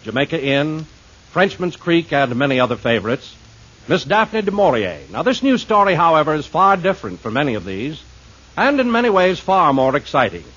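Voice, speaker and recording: A man announcing a radio drama in a scripted, formal, theatrical 1950s style, with a husky voice. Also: a little high, big pitch swings, normal speed, a bit bright. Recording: muffled 1950s radio recording.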